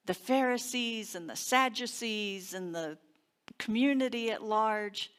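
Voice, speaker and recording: A woman minister giving a sermon and guided prayer in a deliberate, reverent manner with long pauses. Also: medium pitch, fairly bright tone. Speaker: minister leading a service. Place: church service.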